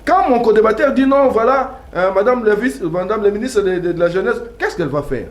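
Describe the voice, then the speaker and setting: A man's voice: high voice, animated, brisk. A man with a Congolese accent arguing insistently in a political debate, using rhetorical questions. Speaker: debate guest. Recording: echoey, noisy room.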